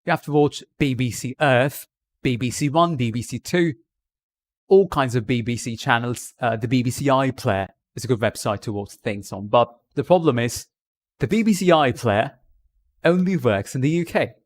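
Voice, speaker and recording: A man's voice: medium pitch, normal speed. A man doing a put-on British accent, chatting on a live stream from India and giving rambling, jokey tips.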